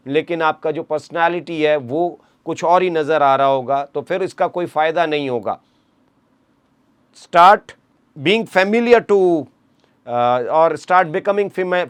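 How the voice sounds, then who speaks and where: A man giving earnest advice to interview aspirants, switching between Hindi and English and slowing down for the English phrases. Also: on the high side, smooth, no room sound. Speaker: coaching instructor for defence interview aspirants. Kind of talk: motivational advice lecture.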